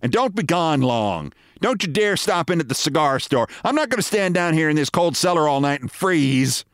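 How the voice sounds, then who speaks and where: A man's voice: a little high, big pitch swings, moderate pace, a bit bright, no echo, pitched above the performer's own voice. Guy putting on a bossy naggy wife, sarcastic, voice jumping around, dry close sound. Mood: scolding. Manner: scolding, sarcastic. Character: nagging sharp-tongued middle-aged wife.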